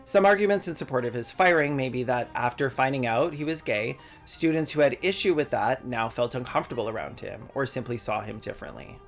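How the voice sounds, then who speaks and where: Young male essayist, mid-pitched, dry sardonic read over faint background music. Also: a little fast, hardly any pauses. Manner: sardonic, profane asides. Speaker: video essayist. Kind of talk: scripted commentary with sarcastic jokes.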